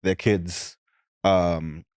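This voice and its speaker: A man's voice: low voice, level tone, darkish tone, clean tone, no room sound, clean studio sound. A man from Saint Lucia hosting a podcast, reflecting thoughtfully in casual chat with frequent restarts. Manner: thoughtful, halting with repeated restarts. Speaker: podcast host.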